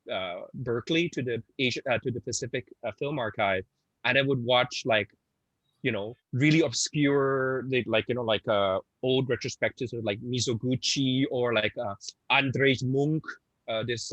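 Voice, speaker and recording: A man's voice: mid-range voice, a bit bright, dry recording, rough recording. A man from Hong Kong moderating a panel, talking casually with many fillers and restarts, laughing easily.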